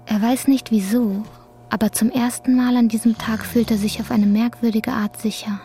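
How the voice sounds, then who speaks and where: A woman's voice: a little high, fairly even intonation, normal speed, warm tone, a little hiss or hum. A woman reading scripted literary narration for a radio drama.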